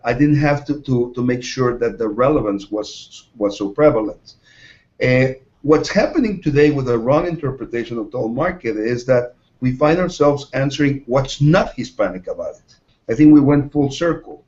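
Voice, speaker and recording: A man's voice: medium pitch, lively intonation, moderate pace, darkish tone. Hesitant middle-aged man, dull lo-fi sound. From Mexico. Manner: hesitant, many fillers and restarts. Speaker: ad agency president, guest. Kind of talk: interview answers. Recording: dull lo-fi recording.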